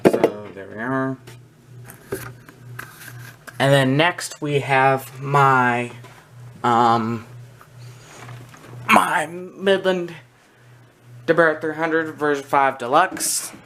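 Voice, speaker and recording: A man giving a casual, unscripted show-and-tell in a halting way, often pausing mid-sentence. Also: medium pitch, fairly bright tone. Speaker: hobbyist video presenter. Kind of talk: casual unscripted product demonstration. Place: indoor room with wooden shelves. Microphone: handheld camera's built-in mic. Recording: background noise, amateur handheld camera recording.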